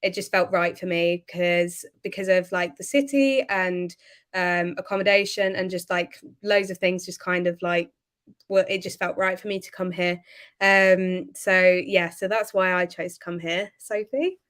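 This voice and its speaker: A woman's voice: lowish voice, fairly even intonation, unhurried, a bit bright. A young woman from Kent, casually hosting a student panel. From Kent, England. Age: young adult. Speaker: student host. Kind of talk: casual hosted panel talk.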